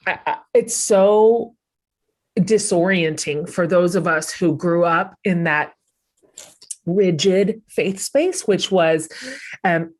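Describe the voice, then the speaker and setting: A woman hosting a conversational podcast interview, her voice pitched slightly low. She talks reflectively, working through her thoughts in real time and pausing often. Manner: reflective, thinks aloud with many pauses and fillers. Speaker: podcast host.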